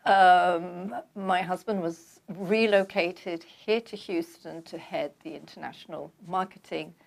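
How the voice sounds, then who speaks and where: An older woman from London with a London accent, calmly telling her life story as a guest in an interview. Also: lowish voice, gravelly. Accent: London English. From London, England. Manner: measured, reflective storytelling. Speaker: guest author. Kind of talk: conversational interview.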